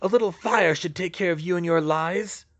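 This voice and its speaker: A man playing a smug, imperious dark lord in a fantasy audiobook, with slow, menacing, drawn-out delivery. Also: high voice, highs cut off, voice pushed up.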